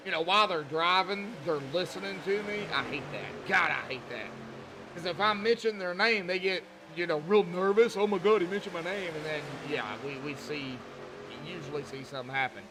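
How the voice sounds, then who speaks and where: High-pitched Southern US male race commentator. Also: moderate pace, noisy background. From Arkansas, southern United States. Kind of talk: live sim racing commentary, folksy and conversational.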